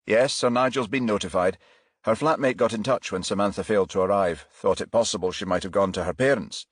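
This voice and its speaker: Guy doing a top cop in a crime audiobook, formal, measured, businesslike, dry close sound. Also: fairly low pitch, slightly rough, no room sound. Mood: grave, businesslike. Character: senior male police chief inspector, formal and businesslike. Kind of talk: audiobook character voice.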